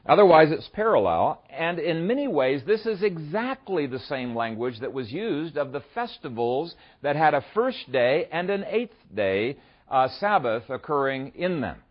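Pastor from Ethiopia telling a story, lo-fi. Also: male voice, fairly high pitch, very animated, normal speed. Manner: conversational storyteller, frequent "uh" fillers. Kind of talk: sermon with a humorous opening story.

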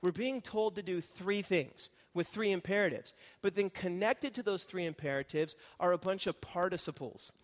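A man's voice: high voice, normal speed, few pauses, long reverb tail. A man preaching an expository sermon, explaining grammar in a conversational teaching manner and often checking in with the congregation. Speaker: pastor.

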